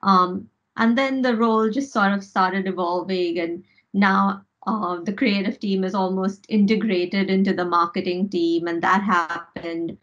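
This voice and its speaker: Female interviewee, mid-pitched, reflective and hedging in phrasing, slightly muffled lo-fi recording. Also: steady tone, unhurried, a bit bright. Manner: hedging, often says "sort of". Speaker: interviewee, creative team lead. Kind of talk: reflective work interview answer.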